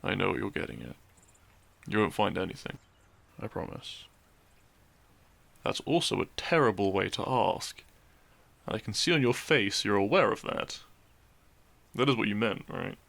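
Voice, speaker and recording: A man's voice: on the low side, very animated, normal speed, vocal fry, pauses often, a bit bright, full character voice. A man playing a depressed, dry-witted young man in an intimate audio roleplay, speaking with hesitant, awkward teasing to his best friend. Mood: dry, exasperated.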